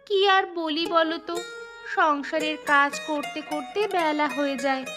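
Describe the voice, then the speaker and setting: Young cartoon housewife, woman acting, talkative village mother, high-pitched voice over clear background music. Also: unhurried. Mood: chatty. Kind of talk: Bengali village cartoon dubbing. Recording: music behind the voice.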